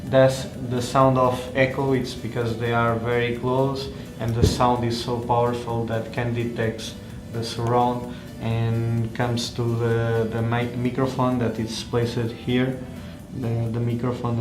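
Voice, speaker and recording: A man who is not a native English speaker gives an unscripted hands-on product demonstration as a tech reviewer, haltingly searching for words. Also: medium pitch, completely flat delivery. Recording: echoey room with music behind.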